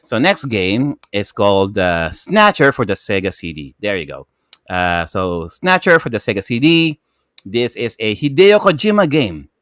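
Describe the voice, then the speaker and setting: A man with a Filipino accent chatting casually as a gaming show panelist, excitable and rambling, often repeating himself. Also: lowish voice, moderate pace, no echo, clean studio sound. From Philippines. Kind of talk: casual chat.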